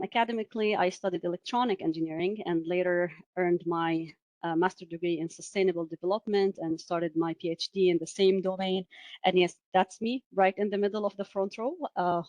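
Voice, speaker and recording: A woman from Syria in her forties gives a webinar talk over a video call. Her voice sits a little low, and the sound is dry and close, with no room echo. She speaks in a measured way, with frequent "uh" and "um" fillers. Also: a little mellow. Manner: measured, many "uh" and "um" fillers, asks for next slide. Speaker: webinar presenter. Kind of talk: presenting a webinar.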